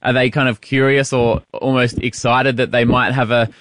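A man hosting a call, gently and reflectively asking questions and sharing his own view as an adoptee. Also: mid-range voice, level tone, normal speed, no echo. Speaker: host and adoptee. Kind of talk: casual interview on a call.

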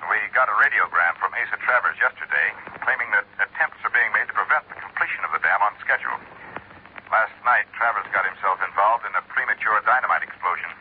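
An actor playing a worried American insurance executive, brisk and earnest in a 1950s radio drama. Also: male voice, lowish voice, animated, close to an everyday voice. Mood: concerned, businesslike. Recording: tinny old radio recording, faint noise.

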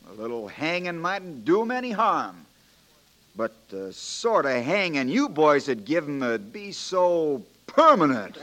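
Folksy young frontier lawyer, higher-voiced. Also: male voice, a bit bright. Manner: folksy, plain-spoken, joking, homespun turns of phrase. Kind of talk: 1940s radio drama acting.

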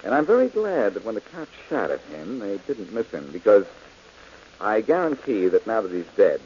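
An actor playing a wisecracking tough detective, dry and sardonic, in 1940s radio acting. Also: male voice, on the low side, very animated, moderate pace, a little mellow. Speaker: detective hero. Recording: dull old radio recording with hiss.

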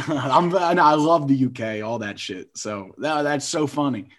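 A young man hosting a podcast, talking casually and excitedly, swearing freely and laughing a lot. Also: mid-range voice, measured pace, crowd noise. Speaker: podcast host.

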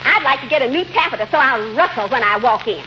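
A demanding wife in a vintage radio comedy. She talks quickly and insistently in a high, lively voice with folksy grammar, on a noisy old broadcast. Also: highs cut off. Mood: insistent, complaining. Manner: insistent, folksy ungrammatical speech. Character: demanding, nagging wife, set on getting her way. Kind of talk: 1940s-50s radio comedy acting.

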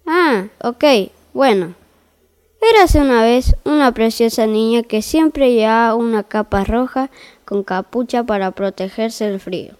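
A woman's voice: on the high side, a little slow, a little mellow, clear, resonant tone, faint music behind, close to an everyday voice. A woman playing a mild, easily flustered fairy-tale narrator in a comic audio story, hesitant and accommodating.

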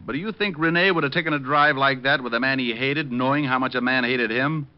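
An actor playing a suave, quick-witted male private detective in a 1950s radio drama, cool and sardonic. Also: medium pitch, lightly acted. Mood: calm, knowing. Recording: dull old radio broadcast.

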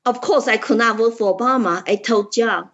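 A woman's voice: on the high side, moderate pace, lo-fi sound. A middle-aged woman from China with a Chinese accent, telling her story earnestly and emphatically in an interview, her grammar simple and direct. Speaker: political candidate, guest.

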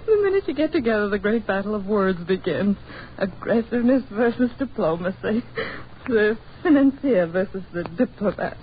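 A woman plays a lady in an old radio play, poised and gently chiding. The sound is muffled with a little hiss. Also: medium pitch, sing-song, moderate pace, natural voice. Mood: amused, chiding. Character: poised Englishwoman, amused peacemaker between two rivals. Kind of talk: 1940s radio acting. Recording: muffled, noisy 1940s radio recording.

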